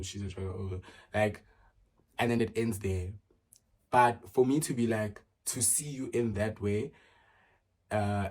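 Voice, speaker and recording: A man's voice: on the low side, darkish tone. A man, chatting casually through a get-to-know-me Q and A, full of fillers and restarts. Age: early 20s. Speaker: vlogger.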